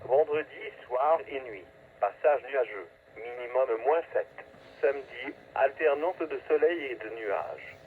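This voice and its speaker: A man's voice: mid-range voice, measured pace. A man reading a scripted weather forecast as a radio announcer, in a clipped, segmented, automated delivery. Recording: tinny phone-like weather radio, static noise.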